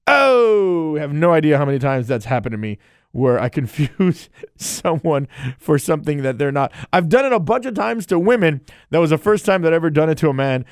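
Smooth-voiced man hosting a casual podcast. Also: a little high, very animated, brisk, no room sound, clean studio sound. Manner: loose, rambling, friendly chat. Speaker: podcast host and streamer. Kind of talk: casual solo podcast monologue.

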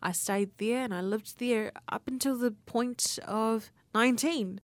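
A woman's voice: a little high, measured pace, no room sound. Candid 19-year-old New woman. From New Zealand. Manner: candid, conversational, swears casually. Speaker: radio host. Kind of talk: personal monologue for student radio.

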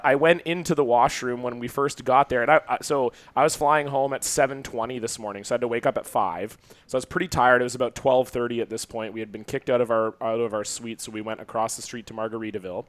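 A man's voice: medium pitch, fast, no room sound. A man co-hosting a podcast, telling rambling, profane stories in casual comedic chat. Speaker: podcast co-host.

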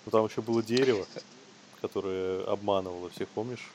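A man's voice: on the low side, fairly even intonation, brisk, darkish tone, slight background noise. A man co-hosting a news commentary show, reading stories in an informal, amused way.

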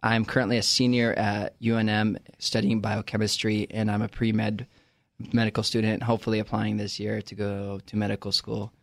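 A man in his twenties, a student guest, talks in a relaxed, slightly rambling way. His low voice is gravelly and flat, and the sound is dry. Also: normal speed, no echo. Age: 20s. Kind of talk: casual interview answers.

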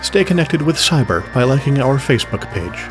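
A man with a medium-pitched voice reads scripted closing credits as a podcast announcer. Music plays clearly behind him throughout. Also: sing-song, a little fast.

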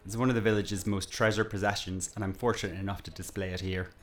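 An actor playing a chatty, teasing village pub landlord in an audio drama, with a folksy, jokey and politely nosy manner. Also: male voice, fairly low pitch, fairly even intonation, quick pace, close to an everyday voice. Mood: wry, confiding.

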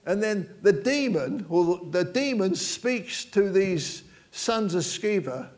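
A man preaching an informal sermon in a chatty, joking way, rambling with many restarts. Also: high voice.

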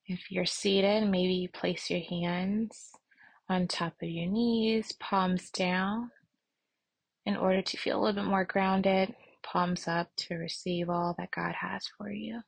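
Slow, husky woman guiding meditation. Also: medium pitch, fairly even intonation, no room sound. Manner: soothing, unhurried, with long pauses. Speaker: meditation instructor. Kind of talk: guided meditation with prayer.

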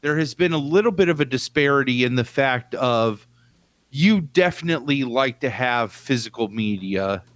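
A man's voice: mid-range voice, lively intonation, moderate pace, fairly bright tone, no echo. A man co-hosting a podcast in casual chat, emphatic and loose, swearing casually and filling with "like" and "you know". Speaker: podcast co-host.

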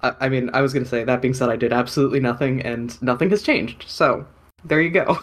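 A man's voice: medium pitch, fast. A man in his thirties co-hosting a podcast, chatting casually and rambling with many fillers and self-deprecating asides. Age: 30s. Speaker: podcast co-host. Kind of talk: casual chat.

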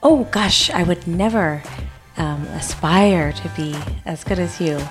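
A woman's voice: fairly low pitch, a little slow, close to an everyday voice. A woman playing an eager, modest young champion in improvised radio theater, speaking in a hesitant, earnest, gushing way. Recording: music playing over the voice.

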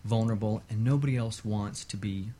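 A man's voice: lowish voice, a little fast, warm tone, slight background noise. A man speaking as a guest expert in a conversational interview, agreeable and emphatic in his affirmations. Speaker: guest psychotherapist.

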